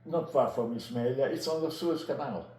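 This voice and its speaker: Male, 90s, interviewee from Egypt. Warm dark tone, halting recollection, roomy recording. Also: medium pitch. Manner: halting, deliberate, repeats phrases. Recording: roomy echo with clear background noise.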